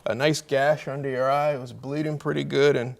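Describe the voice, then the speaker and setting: A man's voice: mid-range voice, level tone, fairly quick, creaky. A man hosting a sports show, interviewing guests in a casual, informal and chummy way.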